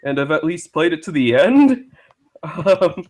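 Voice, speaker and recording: A man chatting casually as a guest on a live stream, speaking in a hesitant, halting way. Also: fairly high pitch, very animated, a little mellow. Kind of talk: casual chat.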